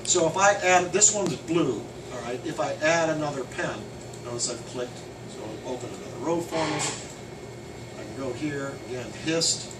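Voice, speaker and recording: Loud man explaining software in an echoey room. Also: fairly high pitch, normal speed. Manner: loud, emphatic at key points, casual. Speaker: software trainer. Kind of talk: hands-on software training walkthrough. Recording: roomy, distant, noisy air conditioning.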